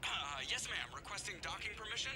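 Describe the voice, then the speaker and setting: An actor playing a wry, grumbling male spaceship pilot in a video game, with a sardonic manner and clear background noise. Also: high voice, animated, quick pace. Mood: sheepish. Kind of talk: video game cinematic dialogue acting.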